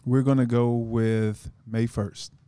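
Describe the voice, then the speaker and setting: Earnest rambling man, mellow voice. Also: medium pitch, level tone, slow pace, clear, resonant tone, dry recording. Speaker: podcast guest, author. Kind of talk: casual podcast chat.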